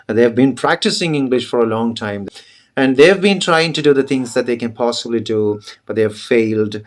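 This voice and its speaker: A young adult man whose first language is Malayalam, giving an earnest, insistent motivational talk to English learners, repeating his points and pushing up his voice for emphasis. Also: brisk. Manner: earnest, insistent and repetitive, rising in pitch when stressing points. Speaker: English teacher.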